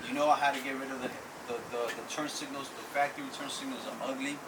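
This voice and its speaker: A man from Ecuador casually describing his motorbike in an interview, relaxed and saying "bro" often. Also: mid-range voice, fast. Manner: relaxed, says "bro" a lot, frequent restarts. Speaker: interviewee, vehicle owner. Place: outdoors by vehicles. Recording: distant from mic, loud background noise.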